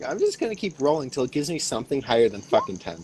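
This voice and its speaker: A man's voice: medium pitch, wildly swooping pitch, fast talker, a little mellow, slight background noise. A man playing a tabletop game, chatting casually in a blunt, rambling, heavily profane way.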